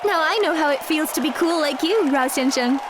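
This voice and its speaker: Woman acting sweet-polite young dancer girl, high voice, formal and earnest, audio drama. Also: moderate pace, crowd noise, noisy background, close to an everyday voice. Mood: earnest, respectful.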